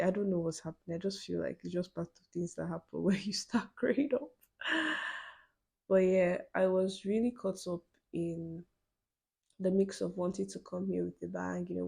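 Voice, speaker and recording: Husky-voiced young woman podcaster. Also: medium pitch, darkish tone. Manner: chatty, many "you know" fillers, laughs between phrases. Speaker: podcast host. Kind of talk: casual solo podcast chat.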